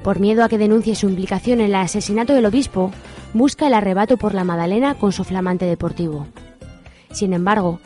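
A woman's voice: mid-range voice, brisk, darkish tone. Woman narrating a radio soap over music.

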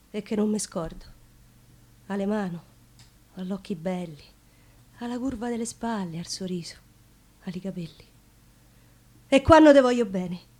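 An actress with a Roman accent playing a passionate, generous yet wounded woman in love in a theatrical monologue. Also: high-pitched. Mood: giving, insistent. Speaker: stage actress.

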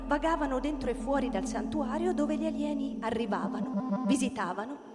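A woman reads scripted literary narration with measured, storytelling phrasing. Her voice is a little high. She sounds set in a long reverb, with music playing loudly behind her. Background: loud music.

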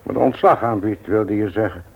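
A man's voice: on the low side, vocal fry, slightly rough. A man acting in a radio play as a stubborn, formal widowed uncle in his seventies who runs the family business. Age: older. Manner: formal, measured, unyielding. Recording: tinny, narrow-band old radio recording.

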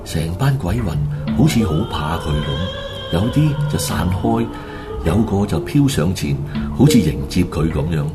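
A man tells a horror radio drama as narrator. His low voice moves with lively swings, colloquial and dramatic, while loud music plays underneath. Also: a little slow. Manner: animated, colloquial.

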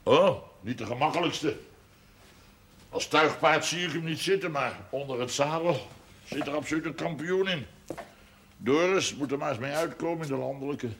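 A man's voice: on the high side, normal speed, fairly bright tone. A man playing a proud, hot-headed farmer with a folksy, roundabout, emphatic way of talking in a period television drama. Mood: chatty. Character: proud, hot-headed farmer with seven sons, scheming neighbour. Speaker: actor in a television drama series. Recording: noisy old television soundtrack.

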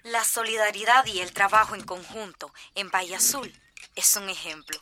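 A woman's voice: medium pitch, normal speed, crowd noise, close to an everyday voice. An actress playing an earnest woman radio reporter narrating a scripted report. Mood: solemn, uplifting. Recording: noisy taped report with clicks.

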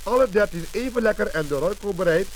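A man's voice: high voice, lively intonation, fast, poor audio. A man reading a scripted radio commercial as an announcer, recorded on an old disc with surface hiss. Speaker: advertising announcer.

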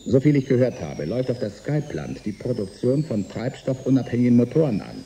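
A man playing an embittered middle-aged inventor in a German science fiction radio drama, speaking in a deliberate, wry, needling way. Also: mid-range voice, very animated, dark tone, a little hiss or hum. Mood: dry, sardonic. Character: embittered middle-aged male inventor turned saboteur, sardonic and resigned.

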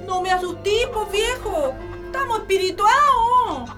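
A superstitious rural Chilean wife in a radio play. Her voice is high and swings widely, with colloquial, exclamatory country phrasing. She comes across as anxious and bossy, with music prominent behind her. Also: lively intonation, unhurried, sharp tone, full character voice. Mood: fearful, scolding. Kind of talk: radio drama acting.

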